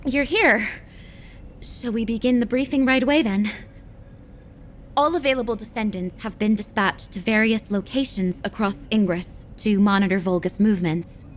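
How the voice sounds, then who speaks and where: A businesslike woman officer, voiced by an actress delivers a mission briefing in a game. She speaks briskly at a middle pitch. A faint rumble sits in the background. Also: lightly acted. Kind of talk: video game cutscene dialogue.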